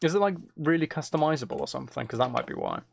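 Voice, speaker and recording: A man casually commentating on his game and chatting to viewers, muttering along in a low-key way, close to the mic. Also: big pitch swings, very fast, vocal fry, a little hiss or hum. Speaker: streamer playing a game while chatting with viewers.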